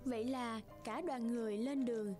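A woman's voice: on the high side, fairly even intonation, moderate pace, a little mellow, faint music behind. A woman reading scripted fairy-tale narration for a cartoon as the storyteller.

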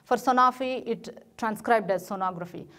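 A woman's voice: on the high side, moderate pace, a bit bright. A middle-aged woman with an Indian English accent giving a technical presentation in a lecture room, with many fillers and restarts. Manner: frequent fillers and restarts, gestures at slides. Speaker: conference speaker. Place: lecture room, presenting in front of a projected slide.

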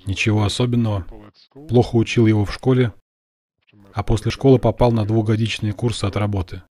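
A man from Russia, a blogger, talking in a calm, measured and modest way on a video call. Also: on the low side. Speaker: blogger interviewing a guest. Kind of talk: calm Russian voice-over of a translated conversation.

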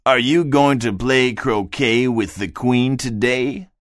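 A man voicing the Cheshire Cat, a calm, riddling creature, speaking slow and knowing. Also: mid-range voice, no room sound, studio quality, pitched above the performer's own voice, lightly acted.

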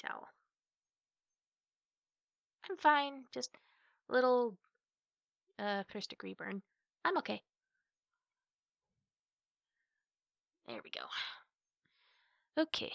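A playful, affectionate big sister in an ASMR roleplay, acted by a woman. Her voice has a clear creak at a middling pitch, and she chats teasingly, stretching words and dropping into hushed asides. The sound is dry, with no room echo. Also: exaggerated voice. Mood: flustered. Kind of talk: ASMR roleplay, spoken to the listener.

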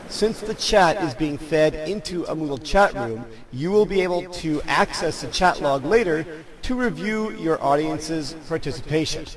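Guy with a slightly high voice walking thru a software demo, presenter style, bit of crowd noise behind him. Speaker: tutorial presenter. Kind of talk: screencast software tutorial narration.

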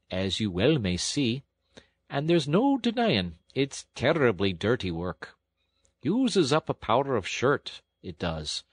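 A man's voice: medium pitch, breathy edge, dry recording, studio quality. A man voicing a burly, good-natured working-class engine driver in a storybook, folksy and plain-spoken. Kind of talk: storybook character acting.

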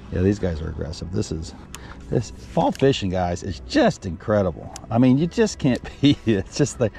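A middle-aged man, a fishing guide, talking casually from his boat on a lake into a headset mic, relaxed and rambling with lots of ums. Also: fairly low pitch. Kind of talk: casual fishing vlog chat.

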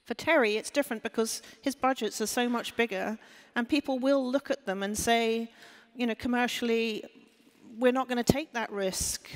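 Woman on a stage panel, kinda halting, big echoey hall. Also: on the high side, fairly even intonation. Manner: thinks aloud, restarts, checks she is understood. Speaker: panel speaker. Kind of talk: panel discussion. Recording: hall reverb. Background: hall reverb.

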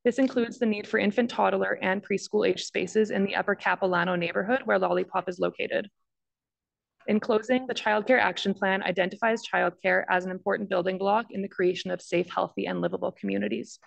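A woman reads out a formal staff report at a public meeting. Her voice is mid-pitched with very heavy vocal fry. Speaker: district staff planner presenting. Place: large council chamber. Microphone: podium microphone.

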